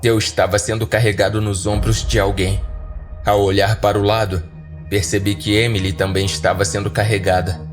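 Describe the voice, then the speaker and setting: A Brazilian man tells a scripted horror story in the first person. His voice sits on the low side and his delivery is dramatic and deliberate, with music playing clearly underneath. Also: flat delivery. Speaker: horror podcast narrator. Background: background music.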